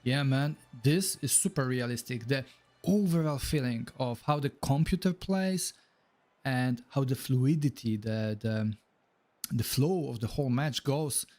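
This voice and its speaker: A man's voice: medium pitch, a little slow, a little mellow. Chatty man with a Polish accent. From Poland. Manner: chatty, reacts aloud to the match, says "bro" and "man". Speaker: streamer. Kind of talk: live gameplay commentary and chat.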